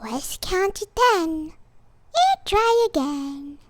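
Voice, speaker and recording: Woman as a kindly witch relative, high-pitched, slow, encouraging, storybook character. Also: animated, exaggerated voice. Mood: soothing, encouraging. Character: kind, encouraging witch family, sisters and grandmother.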